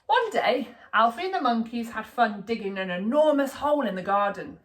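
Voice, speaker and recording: A woman reading a children's picture book aloud in an expressive, deliberate storytelling manner for young listeners. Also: a little high. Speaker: storybook reader, school staff.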